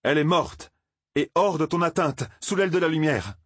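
A man's voice: on the high side, expressive, normal speed, slightly rough, no echo, studio quality, voice pushed up. A man voicing a defiant young male hero who speaks in firm declarations.

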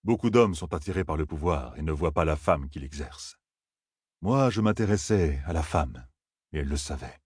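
A man voicing an older gleeman who speaks softly, patient and firm. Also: slightly rough, no echo, clean studio sound. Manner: soft, low and soothing. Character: old gleeman, gentle, weary and firm.

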